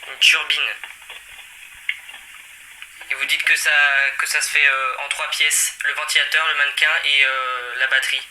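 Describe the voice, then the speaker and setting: A man's voice: a little high, little pitch movement, a little slow, long pauses, sharp tone. A man rambling hesitantly through a casual, confused complaint on a phone call, in a noisy recording. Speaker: prank caller.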